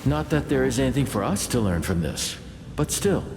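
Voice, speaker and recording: Gravelly, echoing fantasy game companion. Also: male voice, mid-range voice, sing-song, normal speed, background noise. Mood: dry, musing. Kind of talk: video game voice acting.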